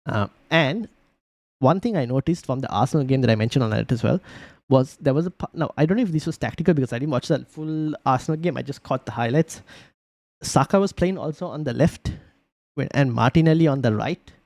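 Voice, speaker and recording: A man's voice: medium pitch, a little fast, vocal fry, a little mellow, no room sound. A man hosting a casual fantasy football live stream, reading viewer comments aloud and thinking through team choices with lots of fillers and restarts.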